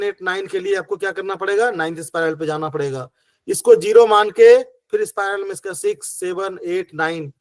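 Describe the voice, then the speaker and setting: Man teaching with a sore throat, high voice. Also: no echo. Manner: patient, counts numbers step by step. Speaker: acupressure teacher. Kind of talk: online class explanation. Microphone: video call.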